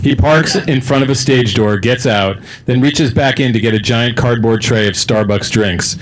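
A man's voice: medium pitch, normal speed, low-quality recording. A man reading comedy stage directions aloud in a dry, matter-of-fact way as narrator and host.

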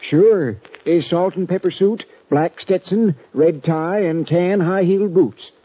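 An actor playing a folksy small-town American ticket agent in a radio western, with a rural accent and a hesitant, repetitive way of talking. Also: male voice, high-pitched, a little slow, slightly rough. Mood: folksy, uneasy. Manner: folksy, hesitant, repeating himself. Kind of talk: old-time radio western acting. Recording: dull, muffled vintage radio recording.

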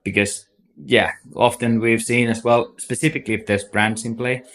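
A man's voice: mid-range voice, level tone, normal speed, fairly bright tone. A man from Finland with a Finnish accent, a guest on a podcast interview, explaining at length with many "like" and "so" fillers. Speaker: agency CEO, guest.

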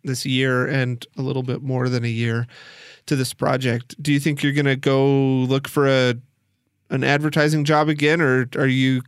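A man's voice: medium pitch, flat delivery, moderate pace, clean tone, no echo, clean studio sound. A man hosting a podcast, moving between conversational interviewing and scripted narration. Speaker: podcast host and producer.

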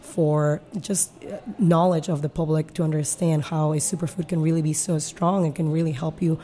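A woman speaks in a low, mellow voice. She is a company founder in a podcast interview, earnest and thorough, explaining at length with many small fillers. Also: little pitch movement, moderate pace. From Peru.

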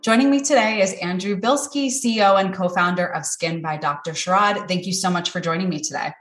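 A woman's voice: lowish voice, brisk, a bit bright, faint music behind. Polished woman podcast host, echoey room. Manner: upbeat and polished, reading scripted intros. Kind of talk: podcast hosting and interviewing.

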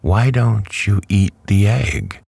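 A man's voice: fairly low pitch, slow pace, gravelly, a little mellow, husky, dry recording, clean studio sound, voice pushed up, exaggerated voice. A man reading a storybook aloud who voices a polite, earnest little girl. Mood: eager. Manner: earnest, well-mannered, eager.

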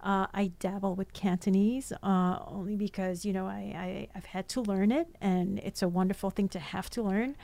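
Chatty woman guest, cookbook author, lots of ums and restarts, mid pitch. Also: fairly even intonation, moderate pace, creaky, hardly any pauses, warm tone. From France. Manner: chatty, many fillers and restarts. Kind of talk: casual interview chat.

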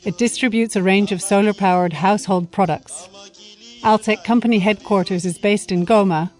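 A woman hosting and narrating a documentary feature in a measured, explanatory scripted read. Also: mid-range voice, a little mellow, soft background music. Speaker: host and narrator.

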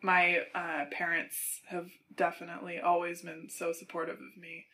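A woman with a bright, clear voice and a fairly flat, even pitch tells stories in a friendly, relaxed way. She sits outdoors on a patio for the interview. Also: normal speed. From Seattle area. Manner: friendly, relaxed storytelling with small laughs. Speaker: artist and florist guest. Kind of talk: casual interview.

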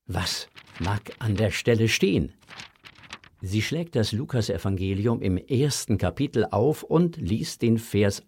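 A man's voice: lowish voice, a little mellow, breathy edge. A man narrating a scripted children's audio story with a dramatic, suspenseful delivery.

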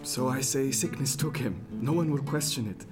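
Guy acting as anxious old-time ship doctor, formal earnest talk, medium pitch, guitar music under him, radio play. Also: moderate pace, close to an everyday voice. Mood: urgent. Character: anxious, frank male ship's surgeon of the 1710s. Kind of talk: serial radio drama acting, period seafaring. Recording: music behind the voice, plucked guitar.

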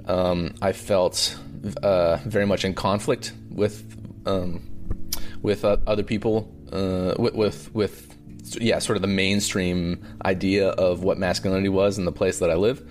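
A Canadian man speaks as a filmmaker being interviewed. His voice is deep, and he talks in a reflective, halting way, often searching for the right word. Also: level tone, a little mellow, faint music behind. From Alberta, Canada. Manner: hesitant, halting, searching for words. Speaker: documentary filmmaker, interview guest.

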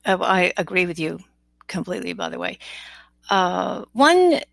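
A woman's voice: fairly low pitch, wildly swooping pitch, measured pace, gravelly, fairly bright tone, dry recording. A middle-aged or older woman hosting a podcast, asking questions conversationally and rephrasing them as she goes. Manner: tentative, rephrases questions mid-sentence. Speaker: podcast host, interviewer. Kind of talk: conversational interviewing.

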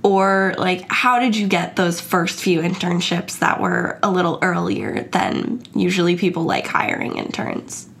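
A woman's voice: lowish voice, expressive, unhurried, vocal fry, hardly any pauses, a bit bright. A young woman hosting an interview podcast, gushing and effusive with her guest. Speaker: podcast host.

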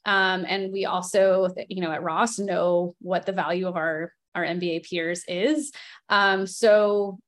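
A woman's voice: lowish voice, steady tone, unhurried. A woman in her thirties speaking as a podcast guest in a casual, conversational way, with many fillers and light laughs. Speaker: podcast guest and board member.

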